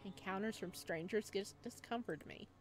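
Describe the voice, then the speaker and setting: A woman's voice: mid-range voice, moderate pace, faint music behind. A woman playing through a visual novel, reading it aloud with dramatic narration, occasional stumbles and casual asides. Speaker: video game playthrough host.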